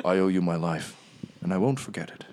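Solemn injured starship captain, low-voiced and measured, played by a man. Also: animated. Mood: grateful. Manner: solemn, halting, quiet. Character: wounded proud male starship captain, grave.

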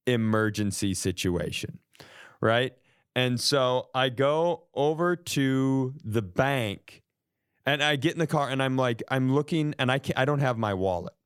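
A man in casual comedic storytelling as a podcast co-host, animated and self-deprecating. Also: a little high, smooth, no room sound, clean studio sound. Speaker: podcast co-host telling stories.

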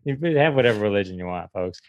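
A man's voice: fairly low pitch, animated, fast talker, dry recording, dull audio. A man who is a comedian and podcast guest, chatting casually in a wry, self-deprecating way. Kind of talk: casual interview banter.

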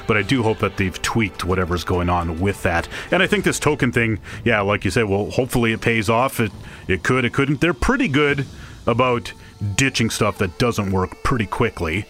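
A man's voice: medium pitch, animated, brisk, fairly bright tone, soft background music. A man co-hosting an entertainment show, chatting casually and enthusiastically in a rambling, colloquial way. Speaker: co-host of an entertainment review show. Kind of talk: casual pop culture chat.

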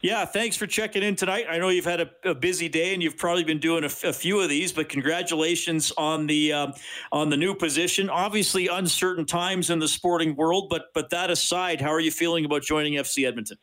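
Male sports radio host, slightly high voice, friendly chatty interviewer. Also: steady tone, fairly quick, a bit bright, rough recording. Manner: friendly, chatty, hesitant restarts.

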